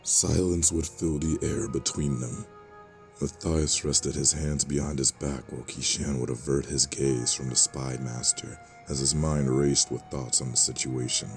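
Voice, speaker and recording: A man narrating a fantasy audio drama in a measured, solemn storytelling manner. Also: extremely low pitch, steady tone, faint music behind.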